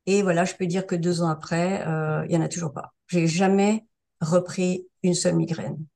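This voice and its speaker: An older woman around seventy, originally from France, speaks in a somewhat low voice. She tells her story casually and haltingly, often pausing on fillers and starting over. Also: steady tone, gravelly, warm tone. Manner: hesitant, many fillers and restarts. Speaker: interview guest sharing a testimonial. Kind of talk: casual spoken testimonial.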